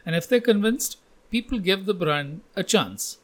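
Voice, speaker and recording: A man's voice: high voice, expressive, normal speed. A man hosting a podcast and reading scripted narration. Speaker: podcast host.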